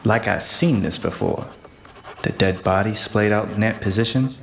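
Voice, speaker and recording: An actor playing an earnest, bumbling, easily flustered detective's sidekick in a noir radio comedy. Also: male voice, lowish voice, normal speed, vocal fry. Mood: brooding.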